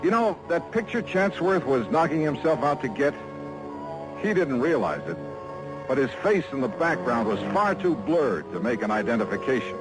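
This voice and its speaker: An actor playing a tough, dry-witted insurance investigator in clipped 1950s radio detective style. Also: male voice, medium pitch, very animated, moderate pace, close to an everyday voice, low-quality recording. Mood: wry, upbeat. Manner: clipped, terse, hardboiled. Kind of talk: 1950s hardboiled radio acting and first-person narration. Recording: vintage radio drama with music behind.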